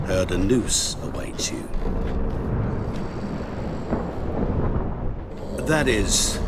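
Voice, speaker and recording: An actor voicing a courteous but sinister merchant of bargains in a video game, with a theatrical, insinuating manner. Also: male voice, medium pitch, heavy background noise. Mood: smug greeting.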